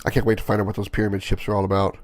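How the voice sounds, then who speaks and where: A man's voice: fairly low pitch, completely flat delivery, dry recording. Stuffy-nosed man rambling over gameplay. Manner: rambling, halting, chuckling asides. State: stuffy, sniffling from sinus infection. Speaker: gaming channel host. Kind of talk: casual let's-play commentary.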